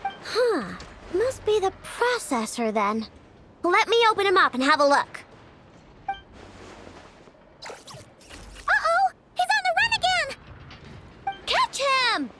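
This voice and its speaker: A woman's voice: very high-pitched, wildly swooping pitch, takes it slowly, long pauses, bright, forceful tone, slight background noise, heavily acted. A woman playing a confident, curious young girl inventor in a video game. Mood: urgent. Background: game music.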